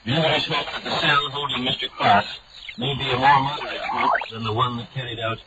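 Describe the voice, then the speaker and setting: Guy reading the news, steady and formal, muffled old shortwave sound w/ loud hiss. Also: mid-range voice, brisk. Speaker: news announcer.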